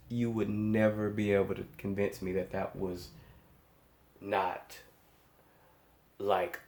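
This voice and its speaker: A man's voice: on the low side, a little mellow, roomy sound. A man giving casual, awestruck reaction commentary as a host, emphatic and often repeating phrases for effect. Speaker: host of a music reaction show.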